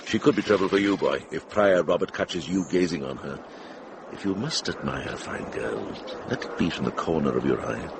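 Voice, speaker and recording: A deep-voiced, gruff older monk from a radio drama. He is wry and worldly, with lively turns of pitch. Clear background noise sits behind him. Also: moderate pace. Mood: wry, chiding.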